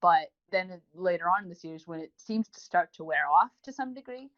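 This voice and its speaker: A woman's voice: fairly low pitch, animated, brisk, fairly bright tone. A woman co-hosting a podcast, giving a rambling, enthusiastic review in a casual, chatty style. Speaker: podcast co-host. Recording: dull lo-fi voice recording.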